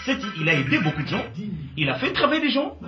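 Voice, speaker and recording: A man's voice: sits high, sing-song, fast talker, music in the background. A man with a Congolese French accent giving emphatic interview answers and mixing in Lingala. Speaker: guest. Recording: echoey, distant, dull sound.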